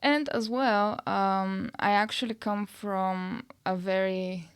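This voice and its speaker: Slow, clear-voiced woman. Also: medium pitch, fairly even intonation. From Bulgaria. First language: Bulgarian. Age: 30s. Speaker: podcast guest, nutritionist and online coach. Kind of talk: casual interview answers.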